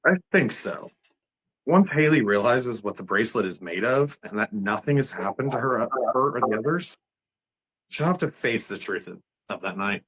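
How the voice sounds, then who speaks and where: A man playing a calm, authoritative ward doctor in a screenplay table read, speaking in a measured, gently firm way. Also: medium pitch, breathy edge, poor audio. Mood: measured, concerned.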